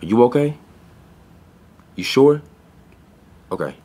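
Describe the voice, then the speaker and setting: A man's voice: medium pitch, expressive, slow pace, dark tone, slightly rough. A young man playing an earnest, guarded pet store clerk in a naturalistic audio drama, with blunt, slangy, hesitant phrasing. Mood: uneasy, reassuring. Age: young adult.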